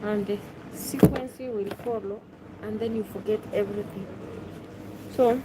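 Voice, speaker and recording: Kenyan lady chatting on a livestream, really slow pace, repeats herself, noisy background. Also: medium pitch, steady tone. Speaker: live streamer. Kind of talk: casual chat, reading and answering viewers' questions.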